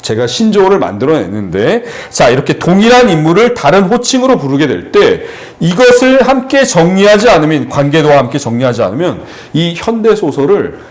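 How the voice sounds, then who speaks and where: A man's voice: fairly high pitch, big pitch swings, fairly quick, few pauses. A middle-aged man tutoring exam literature, lecturing at a seminar in an explanatory way with frequent fillers and restarts.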